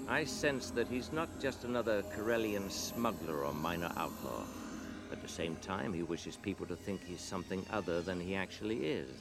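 An older man playing a wise, watchful mentor in a radio drama, speaking formally and with measured calm. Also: deep voice. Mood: musing. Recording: radio drama with music behind.

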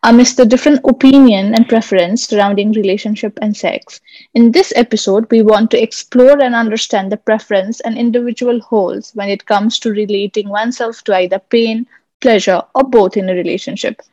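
A woman's voice: a little high, steady tone, a little fast, warm tone, no room sound, low-quality recording. A woman co-hosting a podcast in a mostly scripted presenting style. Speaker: podcast co-host.

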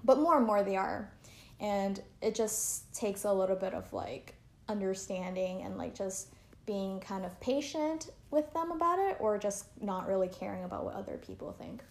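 A young woman from Canada, chatting easily as an interviewee. She talks loosely and leans on fillers and likes. Her voice sits at an ordinary pitch, and the recording has a roomy echo. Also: lively intonation, moderate pace. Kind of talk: casual interview chat. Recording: echoey room.

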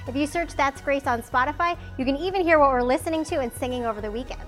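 A woman's voice: high-pitched, fast. A woman giving a scripted welcome announcement as a church announcer. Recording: music under the voice. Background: music.

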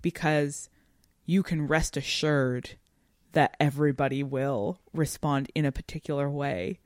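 A woman's voice: sits low, a little slow, a little mellow, no echo, studio quality. A woman co-hosting a podcast, talking casually and analytically in a thoughtful way, often feeling for words with "like". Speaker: podcast co-host. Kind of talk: casual analytical discussion of fiction.

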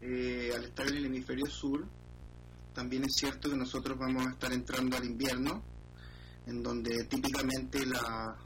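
Flat-voiced Chilean man, measured answers. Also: medium pitch, slight background noise, poor audio. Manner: measured, hesitant, many fillers. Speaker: guest, financial columnist. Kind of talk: interview answers.

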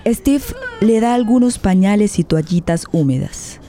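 An actress playing a grave narrator in a tragic radio play, solemn and measured in her descriptions. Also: mid-range voice, animated, dark tone, a little hiss or hum. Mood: grave, tense.

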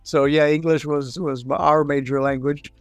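A man's voice: on the high side, level tone, normal speed, smooth, dry recording, soft background music. A man in his sixties from Texas who grew up in Puerto Rico, telling stories as a guest in a casual interview, with lots of fillers. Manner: chatty storyteller, lots of fillers. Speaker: guest, business founder.

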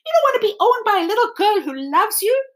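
A woman voicing a vain, self-centered female china doll who speaks in a high-pitched, snooty voice. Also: big pitch swings, fast. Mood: haughty.

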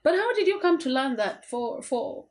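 A woman hosting a casual podcast chat, chatty and full of quick asides. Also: sits high, sing-song. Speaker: podcast host.

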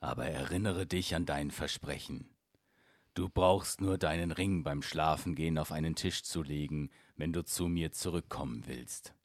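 A mournful, lovelorn beast, put on by a man reading a fairy tale, rumbling very low and slow. Every line drags with heavy sorrow, and the dry, close sound keeps him right at the ear. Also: no room sound. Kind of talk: storybook reading.

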